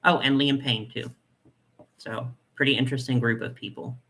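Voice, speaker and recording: A young man with a nasal voice hosting a casual live chat, reading comments and talking in a chatty, soft way with lots of fillers. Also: mid-range voice, fairly even intonation, normal speed, vocal fry. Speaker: doll collector channel host.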